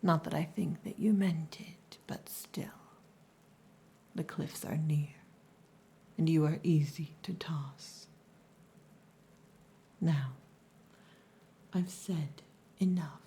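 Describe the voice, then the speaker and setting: A woman playing a possessive, gruff but tender female orc lover in an ASMR roleplay, in a breathy, mostly whispered voice with a softly commanding manner. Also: low voice, fairly even intonation. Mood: possessive, protective. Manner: slow, hushed, softly commanding.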